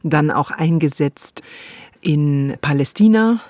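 A woman's voice: low-pitched, lively intonation, takes it slowly, dark tone, no echo. Female radio host, emphatic literary talk, thinking aloud, close dry studio. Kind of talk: literary discussion.

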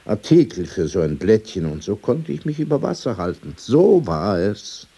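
Husky, prickly old man. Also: lowish voice, very animated, normal speed, dark tone, full character voice. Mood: weary, reminiscing. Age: older. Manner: formal address, probing rhetorical questions, abrupt shifts. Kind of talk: radio drama dialogue.

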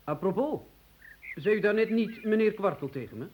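A man doing a deadpan self-styled researcher. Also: high-pitched, normal speed. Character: dry-witted researcher man named Kwartel. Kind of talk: radio play acting.

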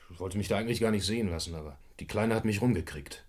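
An actor playing a cornered gangster in a German radio crime drama, bitter and defensive, with terse speech and dramatic emphasis. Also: male voice, fairly low pitch, rapid-fire, breathy edge. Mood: guarded.

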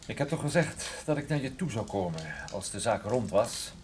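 An actor playing a wry, unflinching private detective in radio play dialogue, with a dry, sardonic manner. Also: male voice, mid-range voice, lively intonation, normal speed, a little hiss or hum, close to an everyday voice. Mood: guarded.

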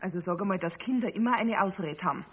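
A woman's voice: mid-range voice, lively intonation, quick pace, fairly bright tone, a little hiss or hum, close to an everyday voice. An actress playing a chatty, bossy mother with a Bavarian accent, fussing and nagging in a children's radio play. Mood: scolding.

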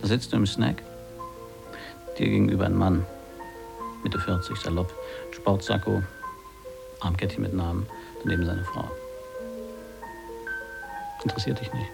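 An actor playing an earnest radio gag writer who pitches scenes in intense, clipped, telegraphic phrases in a radio drama. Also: male voice, a little slow, creaky, pauses often, over loud music, exaggerated voice. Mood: absorbed, narrating. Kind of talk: radio play acting, clipped storytelling.

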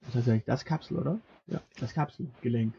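A man's voice: mid-range voice, fast, gravelly, warm tone. Man on a muffled, noisy podcast recording. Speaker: podcast co-host. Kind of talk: casual banter, reading out a list of podcasts.

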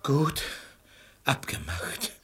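An actor playing a sanctimonious, scheming hypocrite who feigns pious suffering in unctuous, plaintive verse for a classical comedy radio play. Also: male voice, very slow, fairly bright tone. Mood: plaintive martyrdom. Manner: unctuous, theatrical, plaintive, self-pitying.

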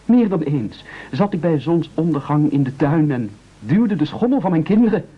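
Muffled radio play, timid family man, slightly husky, swooping pitch, hesitant, pleading, oratorical. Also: expressive, moderate pace. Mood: pleading, sentimental. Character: timid, self-pitying family man pleading his case. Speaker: radio drama actor. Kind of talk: theatrical satirical radio play acting. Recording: dull old radio recording.

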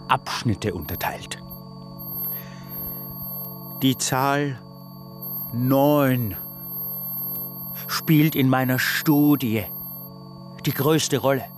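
An actor playing an obsessive, stubborn character in a literary radio drama, speaking in halting, insistent fragments. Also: mid-range voice, a little hiss or hum.

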